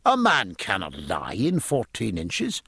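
A man voicing an inquisitive, learned gentleman in an audiobook, formal and precise, questioning life aboard ship. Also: fairly low pitch, huge pitch swings, measured pace, creaky, husky, dry recording, studio quality, exaggerated voice. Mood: incredulous. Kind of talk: audiobook character acting.

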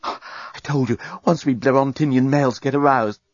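A man's voice: mid-range voice, fairly even intonation, moderate pace, no echo, lo-fi sound, lightly acted. A man voicing a brash, impatient male alien journalist, urgent and insistent, in a science fiction audiobook. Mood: eager, pushy.

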